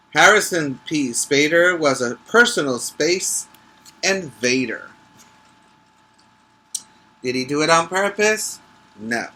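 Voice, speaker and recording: A man who teaches preschool, reading a picture book aloud emphatically, stretching key words and pausing to explain things to the children. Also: mid-range voice, sharp tone, low-quality recording. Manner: instructive, checking in with listeners.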